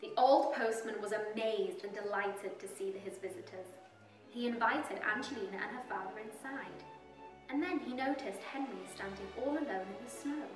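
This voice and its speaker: A woman reading a children's story aloud as storyteller, expressive and deliberate, with drawn-out emphasis. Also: mid-range voice, far from the mic. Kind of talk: scripted storybook narration for young children. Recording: roomy echo, music behind. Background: soft music.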